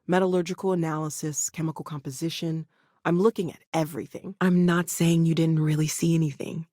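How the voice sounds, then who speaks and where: A woman's voice: low-pitched, flat delivery, fairly quick, dark tone, no room sound, studio quality. An actress playing an earnest, conversational woman scientist in a science fiction video game. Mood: focused, matter-of-fact. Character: earnest, excitable young woman scientist of a space explorer group. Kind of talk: naturalistic video game dialogue acting.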